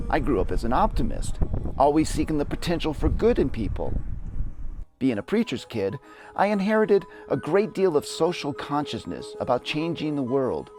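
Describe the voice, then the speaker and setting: A man giving a reflective, earnest dramatic reading of his own memoir. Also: a little high. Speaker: memoirist narrator. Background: music.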